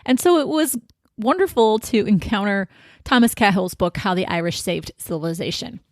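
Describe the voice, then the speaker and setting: An American woman hosting a podcast, giving a prepared literary talk in a reflective, deliberate way with emphatic phrasing. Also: mid-range voice, animated, dry recording, clean studio sound. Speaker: podcast host.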